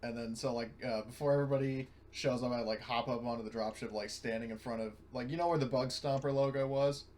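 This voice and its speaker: A man's voice: medium pitch, steady tone, fast, few pauses, darkish tone. A man talking casually as a player in a tabletop roleplaying game. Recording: clear background noise.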